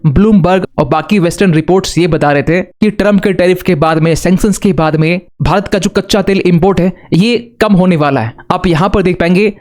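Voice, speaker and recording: A man's voice: high-pitched, a little mellow. A man presenting explanatory current affairs commentary in a didactic way, mixing in English terms. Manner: didactic, addresses viewers with rhetorical questions.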